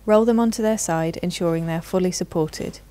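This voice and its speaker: A woman reads scripted instructional narration in a measured, formal, clearly enunciated manner. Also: lowish voice, dark tone, slight background noise. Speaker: narrator of a product training video.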